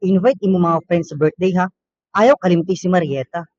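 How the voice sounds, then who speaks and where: Male actor as friendly, familiar father figure for radio play, notably high voice, Filipino accent, lo-fi sound. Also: moderate pace, darkish tone, no room sound, close to an everyday voice. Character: friendly, charming father of a student, middle-aged man. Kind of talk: amateur radio drama acting. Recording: muffled lo-fi recording.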